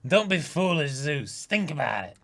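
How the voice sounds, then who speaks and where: A man's voice: fairly high pitch, big pitch swings, moderate pace, creaky, a bit bright, heavily acted. A man voicing a gluttonous, domineering giant pirate queen in a comic-book dramatic reading. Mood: smug menace. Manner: boastful, domineering.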